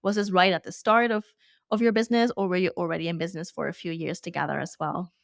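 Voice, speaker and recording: A woman's voice: lowish voice, fairly even intonation, fast talker, vocal fry, dry recording, studio quality. A woman hosting an interview podcast, speaking in an upbeat, enthusiastic way. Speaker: podcast host.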